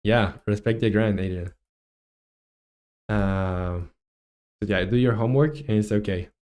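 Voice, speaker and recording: Chilean guy in his 20s streaming game dev, kinda low voice, lots of uh and um. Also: measured pace, darkish tone. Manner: rambling, many fillers and restarts, reads chat aloud. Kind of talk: casual livestream chat while working.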